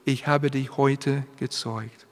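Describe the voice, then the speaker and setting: A man preaching a sermon in German, which is not his first language; he speaks haltingly and drops in English phrases. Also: medium pitch, fairly even intonation, a little hiss or hum. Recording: long hall reverb.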